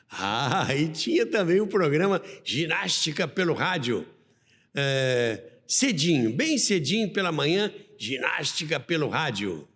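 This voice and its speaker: Brazilian man narrating, slow and very animated. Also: fairly high pitch, slightly rough. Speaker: radio history presenter. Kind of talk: narrated documentary storytelling. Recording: long reverb on the voice.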